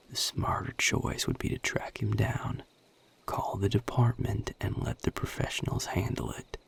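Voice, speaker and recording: Man playing a gravelly, whispery Southern vampire. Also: full character voice. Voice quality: breathy, gravelly low drawl. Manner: measured, hushed, plain-spoken with deliberate pauses. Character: guarded, world-weary male Southern vampire, protective and level-headed. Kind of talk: intimate audio roleplay acting addressed to the listener.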